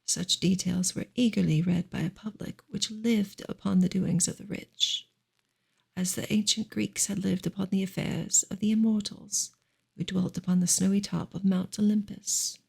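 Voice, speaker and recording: A woman reading aloud in a soft, breathy, whispery ASMR style, slow and deliberate. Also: mid-range voice, steady tone.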